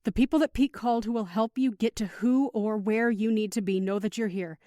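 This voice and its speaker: A woman's voice: fairly high pitch, a little fast, dark tone, dry recording, studio quality, lightly acted. A woman playing a firm, protective, teasing mother of two in an audio drama, instructing patiently.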